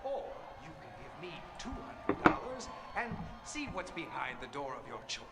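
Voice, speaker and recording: A man playing a sinister, gleeful game show host who taunts with a showy sales pitch in a video game. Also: very animated, moderate pace. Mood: gleeful showmanship. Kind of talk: theatrical video game cutscene acting. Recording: loud music behind the voice.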